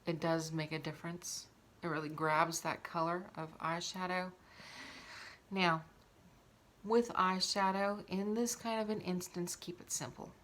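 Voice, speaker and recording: A woman presents a casual makeup tutorial in a bright, forward voice. Her pitch sits a little low and her pace is unhurried. She talks through each step instructively while demonstrating it. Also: steady tone.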